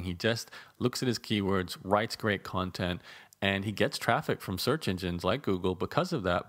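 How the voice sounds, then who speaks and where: A man hosting an interview, talking in a chatty way with frequent restarts and repeated words. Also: on the low side. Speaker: podcast host.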